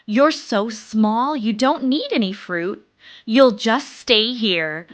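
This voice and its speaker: A woman's voice: on the high side, slow, no room sound. A woman voicing a bossy, teasing male squirrel in a children's storybook, taunting and domineering.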